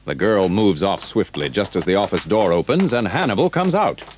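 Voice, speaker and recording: Male narrator, adventure audio drama. Lowish, lively read, clearly noisy cassette transfer. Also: moderate pace. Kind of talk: scripted story narration for 1980s audio drama.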